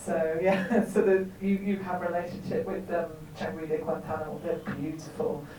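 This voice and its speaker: A woman's voice: fairly low pitch, level tone, normal speed, noisy background. A woman hosting a talk, enthusiastic as she thanks the speaker and moves on. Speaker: event host. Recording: echoey room mic from far off.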